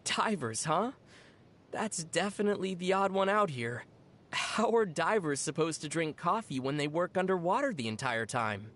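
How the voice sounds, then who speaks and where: An actor voicing a young traveling adventurer in a video game, level-headed and gently teasing, explaining things in a dry, playful way. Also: medium pitch, animated, moderate pace, lightly acted. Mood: bemused. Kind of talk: video game character dialogue.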